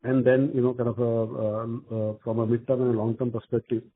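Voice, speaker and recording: A man with an Indian accent answering as a company executive on an earnings call, speaking haltingly with many repeated filler phrases. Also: medium pitch, level tone. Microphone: telephone conference call. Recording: muffled narrow phone line.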